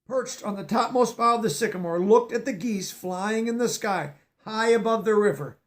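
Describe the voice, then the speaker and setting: A man's voice: high-pitched, moderate pace. Man reading a children's story aloud. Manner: stops to explain words in a teacherly way. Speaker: storybook reader.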